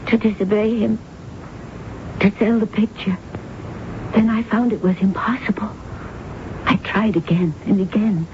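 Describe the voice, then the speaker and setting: An actress playing a haunted, grief-broken woman, prematurely aged and ruled by her dead father, in intense, halting 1970s radio drama style. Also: mid-range voice, fairly even intonation, natural voice. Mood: haunted, despairing. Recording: dull, noisy old radio recording.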